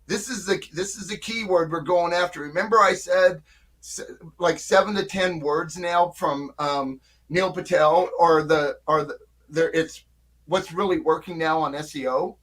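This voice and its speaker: A man's voice: a little high, a little slow, a bit bright. An older man who runs a marketing agency, talking casually and emphatically in an interview, often checking in with "right?". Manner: talkative, emphatic, frequent "right?" check-ins.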